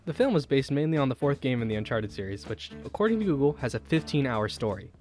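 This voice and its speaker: A young man narrates a scripted video essay over background music. He moves along at a brisk pace with an average-pitched voice, enunciating his lines in a measured, explanatory way and posing rhetorical questions as he goes. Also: big pitch swings, a little mellow. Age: young adult.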